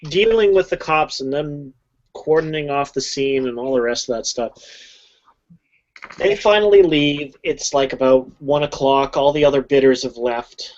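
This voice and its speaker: A woman casually narrating a tabletop game as game master, halting with fillers. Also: sits low, a little mellow. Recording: dull lo-fi home mic.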